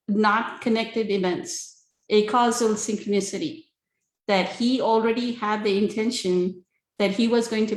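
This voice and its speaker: A woman speaks as a spiritual teacher in an informal, earnest way, turning to listeners with rhetorical check-ins. Her voice sits at a middle pitch. The sound is roomy and echoing, as on an online call from an indoor space. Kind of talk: spiritual talk, conversational teaching.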